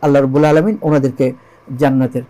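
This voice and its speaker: A man's voice: medium pitch, steady tone, quick pace, warm tone, poor audio. A Bangladeshi man speaking as an interview guest, telling religious history in an explanatory storytelling way, emphatically repeating key phrases and posing rhetorical questions. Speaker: interview guest, lyricist and composer.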